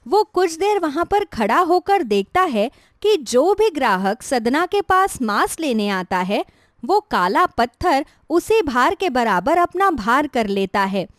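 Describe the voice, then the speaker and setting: Female narrator for a scripted moral tale. High register, very expressive intonation, dry recording. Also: unhurried, no echo.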